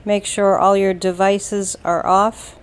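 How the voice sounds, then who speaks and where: A woman with an American accent leads a guided meditation, giving calm, soothing instructions in a deliberate way with long pauses. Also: medium pitch, smooth. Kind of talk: slow guided meditation narration.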